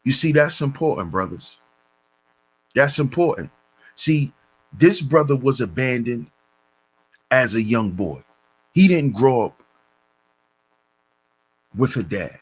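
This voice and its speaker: A man hosting a commentary channel, reading a news story and lecturing on it in a deliberate, preachy way, calling his listeners brothers. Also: mid-range voice, lively intonation, breathy edge, rough recording.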